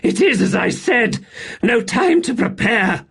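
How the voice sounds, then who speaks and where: A man's voice: medium pitch, animated, slow, fairly bright tone, breathy edge, pitched above the performer's own voice, heavily acted. Man doing a weary, wry soldier. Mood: gasping. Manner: dry, sardonic, urgent when gasping. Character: weary, wry male soldier, rough and dry-humored. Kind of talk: audiobook character voice.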